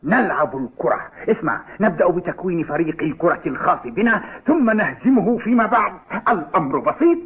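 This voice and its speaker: An actor playing a confident, defiant young football player in a cartoon dub, with a cocky, assured manner. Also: male voice, high-pitched, sing-song, a little fast, hardly any pauses, breathy edge, heavily acted. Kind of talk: Arabic cartoon dub acting. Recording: tinny, band-limited TV dub.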